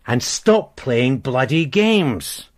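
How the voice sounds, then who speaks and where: An actor playing a blunt, persistent police sergeant in a radio crime drama, pressing and insinuating. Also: male voice, medium pitch, sing-song, drawn-out words. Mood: exasperated. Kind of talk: naturalistic British radio crime drama acting.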